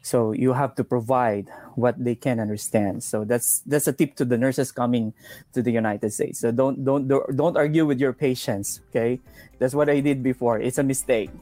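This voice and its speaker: A man's voice: mid-range voice, steady tone, moderate pace, dark tone, dry recording, soft background music. A man who speaks English as a second language, a nurse sharing anecdotes and advice in casual, earnest conversation, rephrasing for emphasis. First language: not English; English is second language. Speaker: nurse, interview guest.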